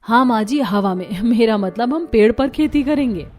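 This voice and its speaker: A woman's voice: fairly high pitch, expressive, moderate pace, a little mellow, faint music behind, natural voice. A woman voicing a clever, determined young daughter-in-law in a cartoon story, speaking in a confident, persuasive way. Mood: confident, playful. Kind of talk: Hindi cartoon story dialogue.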